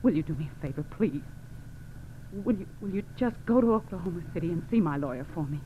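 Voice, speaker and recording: An actress playing a pleading, scheming gangster's wife who feigns helplessness in a 1940s radio crime drama. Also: female voice, lowish voice, lively intonation, quick pace, lightly acted. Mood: urgent pleading. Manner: pleading, confiding. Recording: tinny, hissy vintage radio recording.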